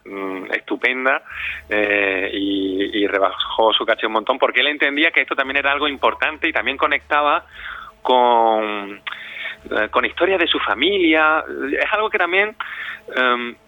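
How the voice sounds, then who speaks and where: A man from Spain answers as a radio interview guest. His mid-pitched voice moves haltingly, searching for words. The studio sound is dry and slightly dull. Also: no echo. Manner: hesitant, searching, with many pauses. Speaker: audio drama director, interview guest. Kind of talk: spontaneous interview talk.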